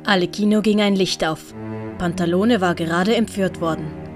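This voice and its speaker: A woman's voice: on the low side, normal speed. Woman narrating a story over music. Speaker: narrator and author of audio story.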